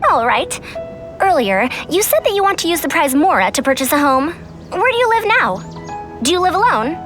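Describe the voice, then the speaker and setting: A proud, pompous female scholar from a video game. Her voice is high and youthful with very lively swings of pitch, and she speaks in formal, old-fashioned phrases over light music. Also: normal speed. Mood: measured, curious. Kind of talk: scripted video game character acting.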